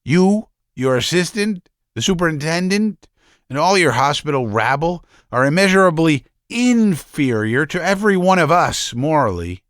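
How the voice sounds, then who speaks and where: A man voicing an agitated young male asylum patient who is bitter and scornful, shouting and laughing mockingly. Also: a little high, very animated, normal speed, no room sound, clean studio sound.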